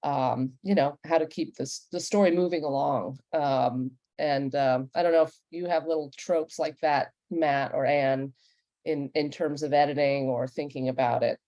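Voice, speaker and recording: Low, mellow woman moderating. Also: fairly even intonation, moderate pace, dry recording. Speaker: host and moderator. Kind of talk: panel discussion moderating.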